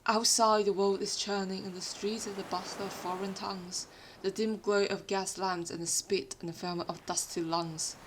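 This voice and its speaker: A woman who is not a native English speaker, with a British-influenced accent, reads fiction aloud in an expressive, literary storytelling manner. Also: mid-range voice, moderate pace, slight background noise, rough recording. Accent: British-influenced non-native English. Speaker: podfic reader. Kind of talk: dramatic scripted fiction narration.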